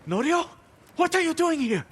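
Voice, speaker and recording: An actor playing a stubborn, principled pacifist healer monk, earnest and pleading, in a dramatic video game cutscene. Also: male voice, very high-pitched, big pitch swings, moderate pace, fairly bright tone, a little hiss or hum, full character voice. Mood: startled, pleading. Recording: background music under the dialogue.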